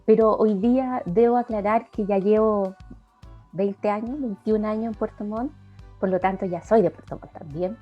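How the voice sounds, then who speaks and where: A woman's voice: mid-range voice, normal speed. A middle-aged woman from Chile with a Chilean accent, answering conversationally as an interview guest. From Valparaíso, Chile. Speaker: interview guest, psychology lecturer. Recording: dull lo-fi audio, music behind.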